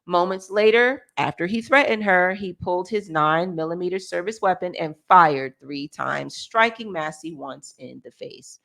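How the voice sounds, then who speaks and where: American woman, slightly low voice, co-host, news read aloud, casual asides, occasional stumbles. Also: moderate pace, creaky, few pauses, fairly bright tone. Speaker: co-host reading a news article.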